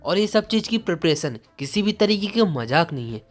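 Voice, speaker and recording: An earnest, loyal retainer voiced by a male narrator speaks respectfully and with animation. The voice is a bit high, with faint music under the manga story. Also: sing-song, moderate pace.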